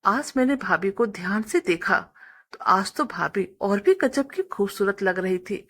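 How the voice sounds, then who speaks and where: A woman's voice: medium pitch, animated, measured pace, fairly bright tone, breathy edge. A woman reading a scripted first-person story as a narrator.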